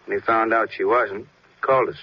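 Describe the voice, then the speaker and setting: A man's voice: fairly low pitch, fairly even intonation, a little fast, lightly acted. Earnest police detective played by a man, muffled radio. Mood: concerned. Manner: conversational, hesitant starts, clipped report. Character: earnest, uneasy male police detective colleague. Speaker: supporting actor. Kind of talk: 1950s radio police drama dialogue. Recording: muffled old radio recording, faint noise.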